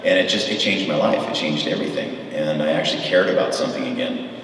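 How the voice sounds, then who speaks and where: A man's voice: sits low, fast talker, fairly bright tone. A middle-aged man telling enthusiastic, rambling stories as a guest on a convention panel, with lots of fillers. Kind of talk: convention Q&A storytelling. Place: large convention hall. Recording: echoey hall recorded from far off.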